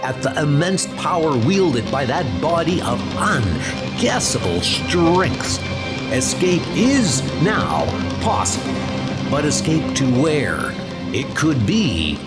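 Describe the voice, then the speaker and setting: A man's voice: fairly high pitch, very animated, slow pace. A man reading dramatic scripted comic-book narration. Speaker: narrator and character reader. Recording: music under the voice.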